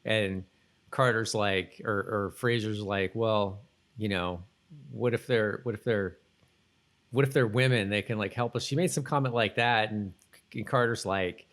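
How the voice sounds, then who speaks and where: A man co-hosting a podcast, casually recapping a TV episode in a rambling, halting way and often repeating himself. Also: on the low side, fairly bright tone. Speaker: podcast co-host.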